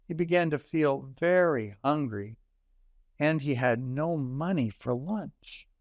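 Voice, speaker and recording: A man reading a children's story aloud in deliberate, expressive phrases with dramatic pauses. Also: fairly high pitch, no echo. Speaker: storybook reader.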